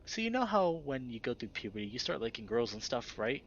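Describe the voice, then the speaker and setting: Guy reading as awkward dry-witted teen furry boy in a visual novel, plain talk, lively, slightly muffled. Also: mid-range voice, brisk, slight background noise. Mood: nervous, confessing. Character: sixteen-year-old animal-person boy, uneasy, dry-witted, protective friend.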